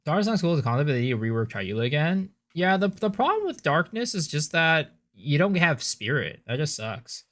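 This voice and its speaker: Streamer type, young guy, first language Cantonese, bouncy pitch, relaxed chatty delivery for a game livestream. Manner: chatty, relaxed, answers chat with quick asides. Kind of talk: casual game-stream chat with viewers.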